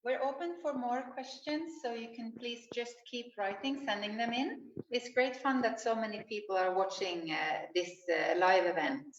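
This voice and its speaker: A higher-voiced woman hosts a live stream and reads out viewer questions in an upbeat manner. Her voice sits in an echoey room. The audio is muffled, with faint background noise. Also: normal speed, few pauses. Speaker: host reading viewer questions.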